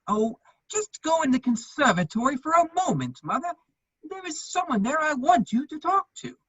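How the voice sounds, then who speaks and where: A man playing a witty, loyal gentleman bachelor with a husky voice, declaiming earnestly in a theatrical reading of a period comedy. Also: very high voice, very animated, normal speed, no room sound, heavily acted, low-quality recording. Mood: dry, wry.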